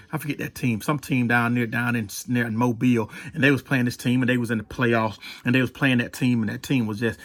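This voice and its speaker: A middle-aged man with a Southern US accent and a raspy voice, telling stories as a pastor in an emphatic, repetitive, folksy preaching style. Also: mid-range voice, a bit bright. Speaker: pastor and storyteller. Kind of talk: animated storytelling sermon.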